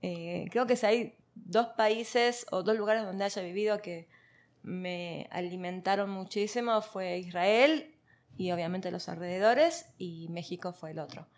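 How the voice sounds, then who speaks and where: A woman's voice: fairly low pitch, a little slow. A woman from Argentina, a guest in an interview, speaking reflectively with many fillers and light laughs. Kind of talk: conversational interview.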